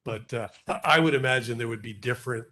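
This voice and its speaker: Project representative answering council questions, mid-pitched, halting and explanatory. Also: male voice, sharp tone. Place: council chamber.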